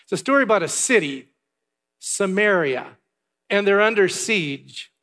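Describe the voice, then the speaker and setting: A pastor from Canada giving church announcements and a sermon in a chatty way, with asides and filler words. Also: male voice, high-pitched, expressive, unhurried, sharp tone. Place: large church hall. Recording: long hall reverb.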